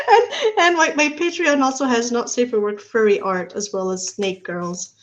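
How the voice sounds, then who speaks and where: A woman's voice: on the high side, muffled recording. A woman from Italy, a comic artist guest on a casual livestream chat, chatty and giggly, using lots of fillers.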